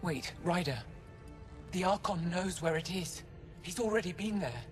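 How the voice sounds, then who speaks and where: Animated alien professor over music. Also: female voice, on the low side, unhurried. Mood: grave, urgent. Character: older alien female professor, grave, knowledgeable, wondering. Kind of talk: video game dialogue.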